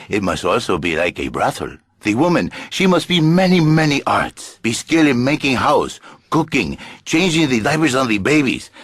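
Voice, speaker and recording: An actor playing a flamboyant, pompous Latin lothario with a Spanish accent and husky voice, theatrical and grandiose in broken English on satirical talk radio. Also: male voice, lowish voice, a bit bright, no room sound, studio quality, heavily acted. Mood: passionate, theatrical. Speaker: radio talk show guest.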